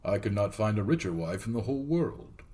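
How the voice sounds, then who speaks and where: A man's voice: lowish voice, very animated, fairly quick, mellow. Man playing an imperious, greedy storybook king. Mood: calculating. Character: greedy, commanding fairy tale king. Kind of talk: storybook character voice.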